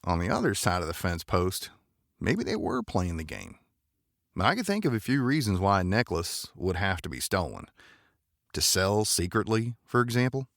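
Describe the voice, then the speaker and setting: A man playing a gravelly, courtly space cowboy. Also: sits low, sing-song, normal speed, no echo, clean studio sound, heavily acted. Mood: musing. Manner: drawling folksy cowboy idiom, gentlemanly.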